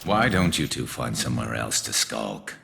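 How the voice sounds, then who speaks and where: An actor playing a cool, grimly determined alien vigilante in a video game, with a dry, menacing way of understating things. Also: male voice, very animated, normal speed, bright, forceful tone, slight background noise, exaggerated voice. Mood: quietly menacing. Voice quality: very deep, gravelly.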